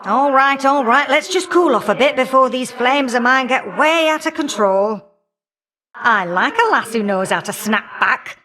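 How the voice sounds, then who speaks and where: A woman's voice: high-pitched, expressive, a little slow, sharp tone, exaggerated voice. A woman playing an irritable, motherly old goddess in a video game, scolding and teasing in a folksy way with old-fashioned endearments. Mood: calming down, wry. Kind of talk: video game character dialogue.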